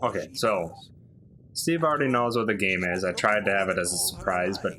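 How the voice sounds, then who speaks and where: A man with a fairly low, bright voice talks casually over game cutscenes. He explains things in loose, filler-filled asides, like a friend walking you through it. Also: brisk. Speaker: gaming video commentator.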